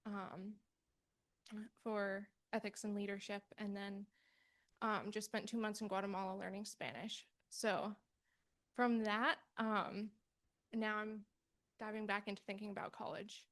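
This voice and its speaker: A woman's voice: mid-range voice, level tone, a little slow, pauses often, fairly bright tone. Eighteen-year-old woman thinking aloud. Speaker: student program participant answering questions. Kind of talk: informal question-and-answer at a public meeting.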